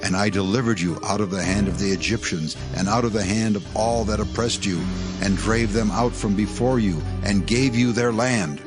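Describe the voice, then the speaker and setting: Prominent music sits under a low male voice of an actor as a prophet. He declaims scripture sternly, delivering divine rebuke in an audio Bible drama. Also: moderate pace, lightly acted.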